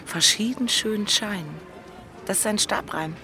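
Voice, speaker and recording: A woman's voice: mid-range voice, a little slow, bright, forceful tone, soft background music. An actress playing Senta, an impulsive, bookish single city woman, teasing and blunt, in a literary audio drama. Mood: teasing, tender.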